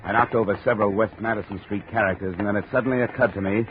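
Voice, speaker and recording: Deep-voiced hard-boiled American radio detective played by a man. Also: steady tone, very fast, music in the background, natural voice. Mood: grim narration. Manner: terse, hard-boiled, dry. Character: tough wry insurance investigator, hard-boiled male detective. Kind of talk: 1950s radio drama acting with first-person narration. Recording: muffled narrow-band old radio recording, crowd noise.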